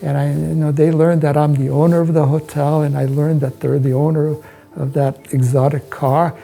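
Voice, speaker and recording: American male, hotel owner telling his story on camera; slightly high pitch, gravelly edge, measured phrasing. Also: flat delivery, dark tone, faint music behind. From United States. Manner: measured, halting delivery in short phrases. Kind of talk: promotional interview, personal recollection. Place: indoors, hotel suite.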